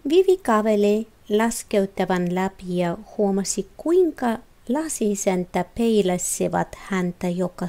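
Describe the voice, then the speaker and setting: A woman reading a children's fairy tale as an expressive storyteller. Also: medium pitch. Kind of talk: expressive scripted storybook narration.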